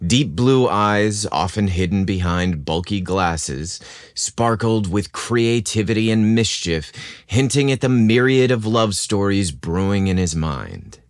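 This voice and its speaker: A man hosting a podcast and narrating scripted romantic stories in a theatrical, expressive manner. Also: on the low side, a little slow, dry recording, studio quality. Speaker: podcast host and story narrator.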